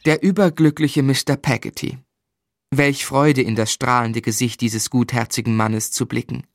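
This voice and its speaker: Man acting a reflective, melancholy gentleman narrator. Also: mid-range voice, steady tone, normal speed, no echo, clean studio sound, natural voice. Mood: fond, reflective. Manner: lyrical, reflective. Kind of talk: literary radio play narration.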